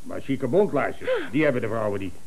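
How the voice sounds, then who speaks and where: A self-important company director in a radio comedy. He has a mid-pitched voice and blusters emphatically, sure he is never wrong. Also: expressive, brisk, vocal fry, slightly rough. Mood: self-satisfied, commanding. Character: pompous, infallible, domineering male company director.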